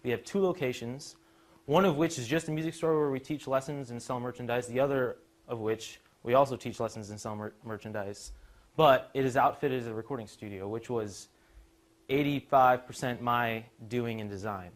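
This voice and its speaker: Young man, medium pitch, explanatory. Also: moderate pace. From Chicago suburbs, USA. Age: young adult. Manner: explanatory, conversational. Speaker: university student presenter, musician and drummer. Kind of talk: informal technical presentation.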